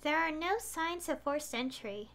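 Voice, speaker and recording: A woman's voice: high-pitched, lively intonation, a little slow, gravelly, a bit bright. A woman streaming a video game, reading text aloud and musing over it in a thoughtful, hesitant way. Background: game music.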